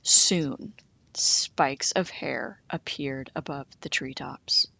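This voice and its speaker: Children's read-aloud narrator: woman, dramatic and animated, very slow delivery, dry close recording. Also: rumbling low, creaky, dry recording, whispered. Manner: dramatic, deliberate pauses. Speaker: storyteller.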